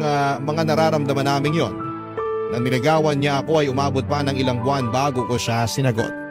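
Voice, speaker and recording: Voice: medium pitch, lightly acted. A performer playing a reflective Filipino letter-writer who narrates a past love story for a radio drama. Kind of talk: scripted first-person radio drama narration. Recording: loud music bed under voice.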